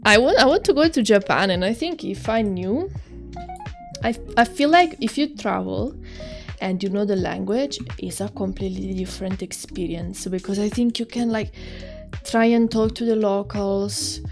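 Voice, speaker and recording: A woman's voice: medium pitch, moderate pace, soft background music. A woman from Italy with an Italian accent, chatting casually on a livestream while she draws; she is chatty and giggly, often trailing off and starting her sentences again. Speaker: streamer.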